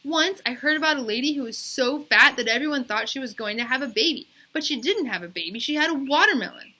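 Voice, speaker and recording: Woman putting on an excitable, high-pitched chatty schoolboy. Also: quick pace, full character voice. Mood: boastful, animated. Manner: emphatic, rambling storytelling. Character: chatty, excitable schoolboy telling rambling tales. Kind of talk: children's storybook read-aloud.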